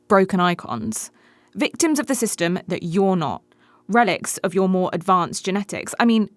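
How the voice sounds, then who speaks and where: An actress playing a brash, bitter, flirtatious runaway bride in a naturalistic radio play, blunt and sardonic in manner. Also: female voice, medium pitch, no echo, lightly acted. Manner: blunt, sardonic, foul-mouthed.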